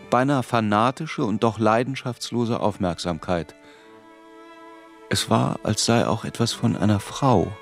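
A man playing a reflective narrator who recalls his troubled boyhood in a radio play, measured and introspective. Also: lowish voice, gravelly. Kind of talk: scripted literary first-person narration in radio play.

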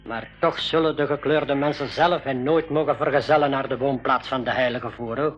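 A man's voice: mid-range voice, steady tone, moderate pace, close to an everyday voice. An actor playing a solemn, ceremonious medicine man of a jungle tribe in a 1980s radio drama, speaking formally. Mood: solemn, wary. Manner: formal, ceremonious, stilted phrasing. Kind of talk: 1980s Dutch-language school radio drama acting. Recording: dull lo-fi radio recording with music.

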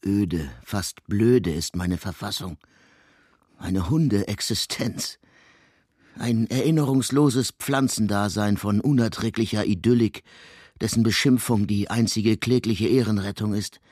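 Despairing ailing composer, radio drama, low husky voice, formal brooding delivery, bleak and weary. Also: male voice, measured pace, a little mellow, dry recording, clean studio sound. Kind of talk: literary radio drama acting.

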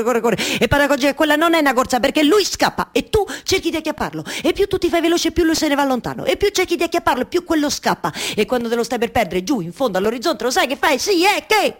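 A woman's voice: on the high side, lightly acted. An actress playing a melancholy, wry waiting woman in a theatrical monologue, with a Roman Italian accent and repetitive, colloquial storytelling. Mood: wry, animated. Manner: incantatory repetition, shifting from declaiming to intimate colloquial storytelling.

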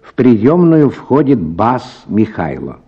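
A man narrating a short story in an expressive, scripted radio drama style. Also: mid-range voice, takes it slowly, breathy edge, highs cut off.

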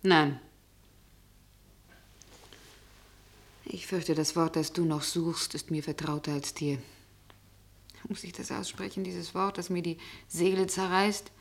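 An actress playing an ageing, melancholy waitress in a poetic radio play, wistful and sceptical in manner. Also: female voice, on the low side, flat delivery, measured pace, vocal fry. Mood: pained, resigned.

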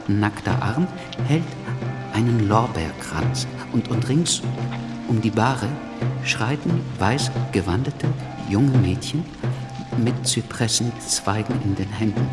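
Stammering writer, dry wit, halting, unhurried, low-pitched, radio play. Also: male voice. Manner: halting, deliberate, drily sardonic. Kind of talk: radio drama first-person narration. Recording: music behind the voice.

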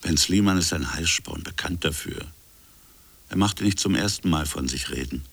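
A man playing a reflective French colonial official in a literary radio drama, recalling a buried affair in musing, fragmentary phrases with a gravelly, husky voice. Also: rumbling low, normal speed, fairly bright tone, full character voice. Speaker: narrator and protagonist.

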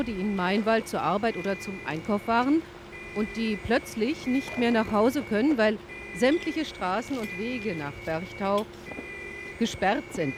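An actress playing an earnest, troubled field reporter, giving a sober live news report in a radio play. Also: female voice, on the high side. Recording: clear outdoor traffic noise.

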